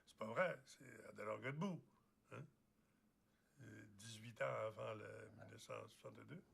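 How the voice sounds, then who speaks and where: Un homme interviewé qui corrige une idée reçue d'un ton insistant. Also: male voice, lowish voice, normal speed, vocal fry, fairly bright tone, slight background noise.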